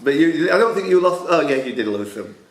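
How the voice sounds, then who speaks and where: A man's voice: on the high side, lively intonation, a little fast. A man hosting a live pub quiz, with jokey, punning asides. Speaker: quiz master. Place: social club room. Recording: roomy club hall with some noise.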